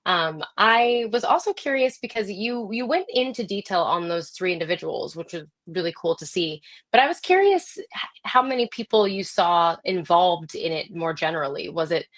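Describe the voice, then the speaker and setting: A woman with a slightly low voice hosts an informal interview. She is chatty and affirming, putting questions in an encouraging, conversational way. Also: lively intonation, normal speed, fairly bright tone. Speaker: host, interviewer.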